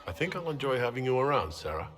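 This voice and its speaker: An actor playing an earnest, principled fantasy game hero, speaking in a serious, deliberate way. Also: male voice, mid-range voice, very animated, a bit bright, some chatter behind. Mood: probing, civil. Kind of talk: video game dialogue acting. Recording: long hall reverb.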